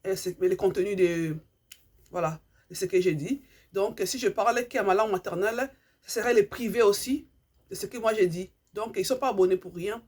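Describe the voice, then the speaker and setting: Husky-voiced woman. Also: medium pitch, a little fast, darkish tone. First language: Lingala. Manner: earnest, repeats herself, hunts for words. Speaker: vlogger. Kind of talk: impassioned monologue to subscribers.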